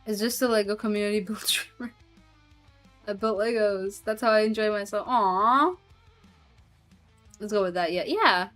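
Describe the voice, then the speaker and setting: A woman's voice: medium pitch, moderate pace, long pauses, a bit bright, soft background music. A woman streamer chatting casually with her viewers and reading chat aloud, giggling often and trailing off with fillers. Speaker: streamer and hobby voice actor.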